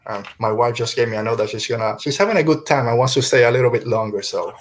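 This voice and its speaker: A man's voice: medium pitch, lively intonation, quick pace, slight background noise. A middle-aged man who is a native Spanish speaker, hosting a podcast and chatting casually through a review. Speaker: podcast host.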